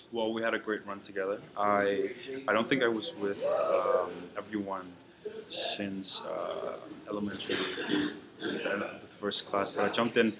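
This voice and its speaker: A young man from Vietnam, speaking English as a third language, giving hesitant, filler-heavy advice to fellow students. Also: on the low side. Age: young adult. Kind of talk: advice and farewell to classmates.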